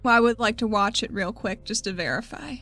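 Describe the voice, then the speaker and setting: A woman's voice: medium pitch, brisk, fairly bright tone, soft background music. A woman playing a tabletop roleplaying game, casually describing what her character does and says.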